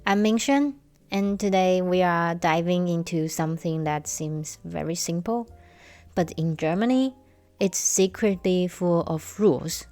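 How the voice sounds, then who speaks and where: A young woman from Taiwan, hosting a casual solo podcast and telling stories slowly with light humor. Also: lowish voice, darkish tone, no echo. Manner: slow, measured storytelling with light humor. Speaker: podcast host.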